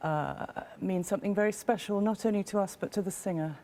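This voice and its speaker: A woman who sings, interviewed in a concert hall, answering thoughtfully and pausing often. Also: mid-range voice, warm tone, slight background noise. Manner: thoughtful, hesitant with many ums. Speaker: guest singer, jury member. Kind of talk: interview chat.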